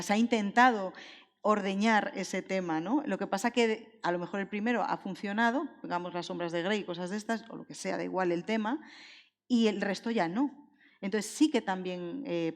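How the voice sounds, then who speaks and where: A woman with an accent from Spain speaking informally as an author on a live literary panel, thinking aloud and seeking agreement. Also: medium pitch. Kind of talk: conversational live panel talk. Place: indoor public event hall. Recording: echoey hall, long reverb.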